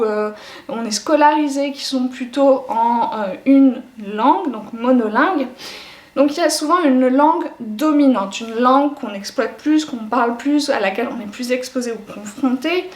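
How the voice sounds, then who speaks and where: A young woman talking to camera for her educational channel, thinking aloud and hesitating often. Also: high-pitched. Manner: reflective, with frequent hesitations. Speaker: educational YouTuber. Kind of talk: explanatory talk to camera. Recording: echoey room.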